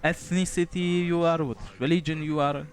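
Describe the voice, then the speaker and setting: A man's voice: on the high side, fairly even intonation, moderate pace. A young man from Poland, a streamer giving casual, laid-back gameplay commentary, chatting and swearing now and then.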